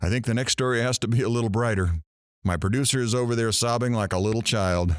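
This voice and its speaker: An actor playing a brash, sarcastic morning radio host in an audio drama, with showy patter. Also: male voice, mid-range voice, quick pace, dry recording, clean studio sound, natural voice. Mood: teasing.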